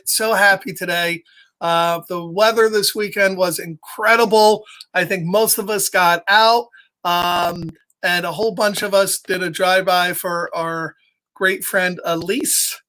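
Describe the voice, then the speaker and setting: Slow-paced, playful man hosting storytime. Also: high voice, sharp tone. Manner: playful, chatty, addresses young listeners directly. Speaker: children's storytime host and reader. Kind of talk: casual livestream chat and children's story reading.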